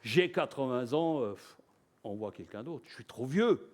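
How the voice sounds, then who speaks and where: A man's voice: mid-range voice, animated, measured pace, a little mellow, voice pushed down. A man voicing an aged male prophet who hesitates, stammers and pleads excuses. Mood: weary protesting.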